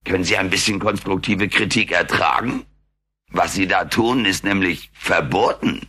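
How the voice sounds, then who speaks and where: A man voicing a cheeky, hungry furry alien in a German radio play, raspy and deadpan as he wisecracks. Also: low-pitched, normal speed, exaggerated voice. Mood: indignant, wry.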